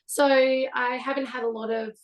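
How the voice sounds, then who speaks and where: A woman with a slightly croaky voice, a newly graduated yoga teacher, introducing herself a little nervously and hesitantly. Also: sits high, fairly even intonation, normal speed, muffled recording.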